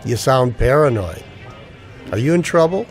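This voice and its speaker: A man's voice: mid-range voice, expressive, normal speed, soft background music, natural voice. Man doing sardonic out-of-work army vet in a radio drama, guarded, normal midrange voice. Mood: wary, teasing. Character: wry, wary unemployed ex-Ranger, expectant father. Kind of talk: radio play dialogue in homage to old radio dramas.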